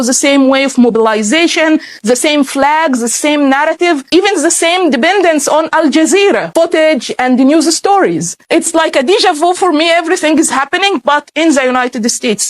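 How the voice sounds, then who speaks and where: A woman from Egypt, giving an earnest, measured prepared statement as a guest speaker. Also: high-pitched, no room sound.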